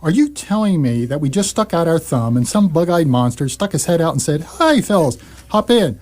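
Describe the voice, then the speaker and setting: Radio comedy: baffled, deadpan Earth human male actor, dark relaxed tone, lively intonation. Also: on the high side, moderate pace. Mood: sarcastic, incredulous. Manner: deadpan, incredulous. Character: bewildered, dryly sarcastic stranded Earth human.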